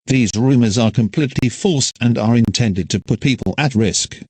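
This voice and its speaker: A man reads a scripted emergency alert as an announcer in a formal, measured way. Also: mid-range voice, darkish tone, breathy edge, no room sound.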